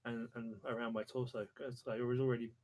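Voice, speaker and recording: A man playing a tabletop game, improvising casually in halting, apologetic phrases. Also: medium pitch, flat delivery, lo-fi sound. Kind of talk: casual improvised in-character play.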